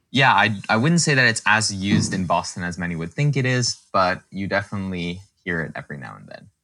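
A man from the United States, answering as an interview guest in a relaxed, explanatory way with many fillers. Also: low-pitched. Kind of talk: casual interview chat.

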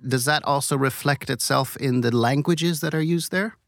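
A man hosting an interview podcast, speaking in a measured, curious way, first reading a scripted intro and then asking questions. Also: mid-range voice, vocal fry, a bit bright, dry recording, studio quality. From raised in many countries, born in Belgium. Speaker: podcast host.

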